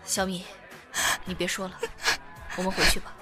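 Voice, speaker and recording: A woman's voice: lowish voice, monotone, moderate pace, natural voice. A woman acting a devoted young lover, firm yet tender, in a modern Chinese audio drama, with music underneath. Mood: gently urging.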